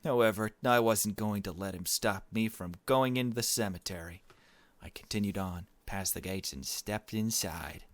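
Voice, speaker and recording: A man's voice: fairly low pitch, moderate pace, no echo, close to an everyday voice. A man reading as Mary, a morbid young girl. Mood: downcast. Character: Mary, chatty morbid young girl narrating her story. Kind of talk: visual novel narration read aloud.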